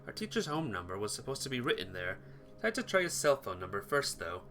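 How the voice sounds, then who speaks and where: A man's voice: medium pitch, wildly swooping pitch, quick pace, a bit bright, a little hiss or hum. A man reading visual novel text aloud as dramatic, earnest, emotive story narration for a playthrough.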